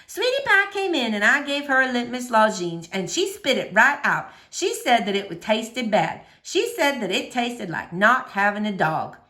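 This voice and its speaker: Animated woman reading aloud to children. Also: on the high side, moderate pace, hardly any pauses. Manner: expressive, animated storytelling. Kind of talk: storybook read-aloud for children.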